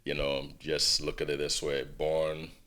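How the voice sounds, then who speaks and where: A middle-aged man from Ghana, a podcast guest, telling his life story in a relaxed way, with lots of "you know" and "right". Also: a bass voice, measured pace. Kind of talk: casual life-story interview.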